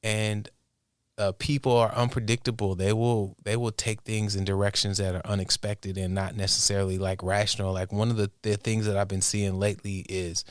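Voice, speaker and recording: A man with a fairly low voice speaks as an interview guest. He thinks aloud in halting, measured phrases, giving considered conversational answers. The sound is close and dry. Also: level tone, clear, resonant tone, no room sound. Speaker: interview guest, music producer and inventor.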